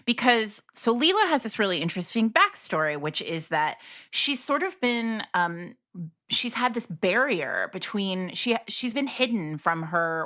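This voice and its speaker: A woman's voice: lowish voice, bright, forceful tone. A woman co-hosting a podcast, talking casually and animatedly about a book, thinking aloud with frequent restarts. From grew up speaking Italian at home. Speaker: podcast co-host.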